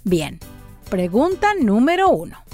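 Lady reading kids stories, quizzing them slow n bouncy, lots of "muy bien", bit of music under it. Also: mid-range voice. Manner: animated, coaxing, praising answers. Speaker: children's storyteller and channel host. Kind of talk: playful quiz for children after a story.

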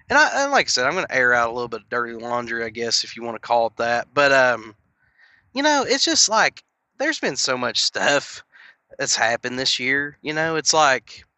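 Rambling man, bright voice. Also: mid-range voice, no echo. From Knoxville, Tennessee. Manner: rambling, filler-heavy, earnest. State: sniffly from a cold. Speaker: podcast host. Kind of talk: casual solo rant.

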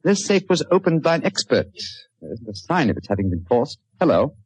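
An actor playing a brilliant, self-assured detective with a British accent, clipped, precise and courteous in a theatrical radio-drama style. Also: male voice, mid-range voice, very animated, brisk, breathy edge, no echo. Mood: absorbed, brisk. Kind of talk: 1940s radio drama acting. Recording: dull, dry old radio recording.

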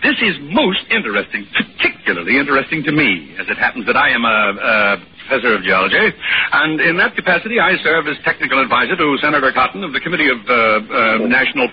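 An actor playing a glib swindler who poses as a pompous professor in a 1940s radio drama, hemming and using grand words. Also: male voice, mid-range voice, sing-song, fast, husky. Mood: smooth, pompous. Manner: hesitant hemming, grandiloquent wording. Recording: noisy muffled 1940s radio broadcast.

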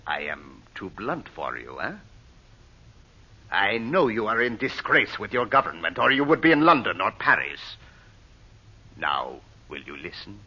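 Blunt, forceful villain, husky old radio. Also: male voice, on the low side, big pitch swings, unhurried, heavily acted. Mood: stern, probing. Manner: formal, imperious, clipped phrasing. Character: blunt, commanding foreign man scheming with a recruit. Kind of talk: 1930s-40s radio drama acting. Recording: muffled old radio broadcast recording.

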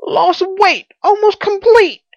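A woman's voice: extremely high pitch, a little slow, no echo, lo-fi sound, pitched above the performer's own voice. A woman voicing an anxious, breathless fat man in clipped, exclamatory bursts for a storybook reading. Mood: breathless, urgent. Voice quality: wheezy, breathless. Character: fat, anxious, agonized man floating helplessly, panting.

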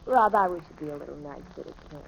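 An actress playing a gentle, pleading ranch wife and mother in a 1940s radio drama. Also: sits low, fast, gravelly, distant mic, a little hiss or hum, low-quality recording.

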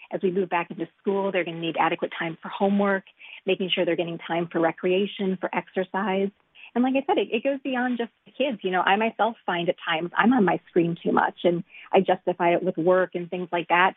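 A woman physician in her thirties explains patiently and earnestly, peppering her points with "you know." Her voice is a little husky and comes through a tinny phone line. The sound is dry, with no room around it. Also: mid-range voice, no echo. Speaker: pediatric physician guest. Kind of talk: interview over the phone.

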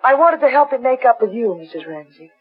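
A woman's voice: a little high, expressive, a little fast, slightly rough. An actress playing a remorseful, defensive woman under suspicion, earnest and dramatic, in 1940s radio melodrama. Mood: defensive confession. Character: remorseful, defensive young woman suspected of murder. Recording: muffled, dull 1940s radio recording.

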